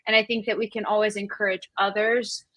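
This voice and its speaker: Female interview host from Colombia, native Spanish speaker. Casual, affirming, mid-pitched, very little room sound. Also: little pitch movement, a little fast, fairly bright tone, no echo. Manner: appreciative, affirming. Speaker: podcast host.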